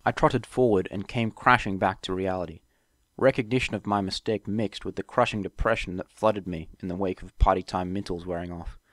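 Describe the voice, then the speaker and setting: Low-voiced man narrating an audiobook. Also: steady tone, a little fast, dry recording. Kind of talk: scripted first-person story narration.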